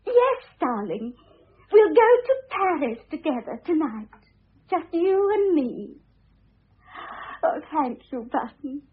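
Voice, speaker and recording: An actress playing a weepy, scheming wife in an old radio play, cooing and pleading melodramatically. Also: female voice, high-pitched, sing-song, slow, long pauses, heavily acted. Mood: sweet, cajoling. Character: weepy, scheming young wife eloping with her lover. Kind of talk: classic radio play acting. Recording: muffled, narrow-band old radio recording.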